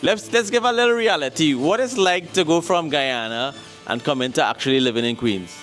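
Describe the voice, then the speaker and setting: Upbeat Trinidadian host, higher voice. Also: male voice, animated, fairly quick, a bit bright, soft background music. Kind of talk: street interview vlog. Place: city street, then inside a barbershop.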